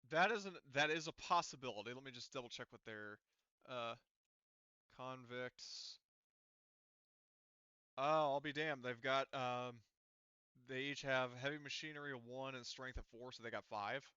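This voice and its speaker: A man's voice: medium pitch, normal speed, long pauses, bright, forceful tone, clear, resonant tone, no room sound, dull audio. A man working as game master in casual tabletop session talk, thinking aloud and correcting himself as he goes.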